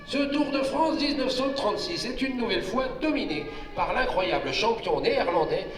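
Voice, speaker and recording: An actor playing an excitable 1930s radio cycling-race announcer in an old-fashioned declamatory commentary style. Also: male voice, very high-pitched, normal speed. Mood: grandiose. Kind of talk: vintage radio sports commentary. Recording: distant echoey hall with music behind.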